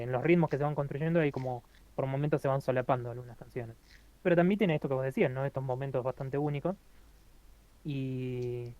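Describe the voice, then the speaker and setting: A man's voice: medium pitch, no room sound. Hesitant man, dull dry mic. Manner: hesitant, many fillers and restarts. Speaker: podcast co-host reviewing an album. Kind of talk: casual spoken album review.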